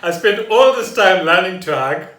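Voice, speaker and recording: A man's voice: sits high, expressive, moderate pace, background noise. A man chatting casually as a podcast co-host at home. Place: indoors at home. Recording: roomy, distant from the mic.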